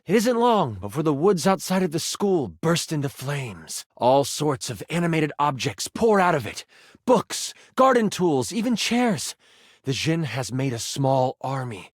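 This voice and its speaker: An actor playing a theatrical game master, narrating a fantasy adventure in a dramatic, suspenseful way. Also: male voice, fairly high pitch, dry recording, studio quality. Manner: dramatic, suspenseful second-person storytelling.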